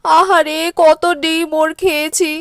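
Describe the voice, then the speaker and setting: A woman plays a foolish village wife from a cartoon. Her voice is high and whiny, and she is given to melodramatic wailing and scolding. The sound is close and dry. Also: level tone, measured pace, dry recording. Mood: tearful lamenting. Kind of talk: cartoon character dialogue.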